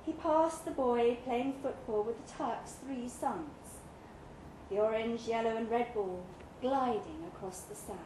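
A woman's voice: fairly high pitch, measured pace, mellow. A woman reading a first-person literary short story aloud at a live event in a pub. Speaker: story reader. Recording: roomy live pub recording, clear background noise.

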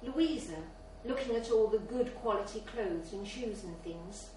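A woman's voice: mid-range voice, a little slow, warm tone. A woman reading a literary short story aloud as scripted narration at a live event in a bar. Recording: very echoey, distant mic, faint noise.

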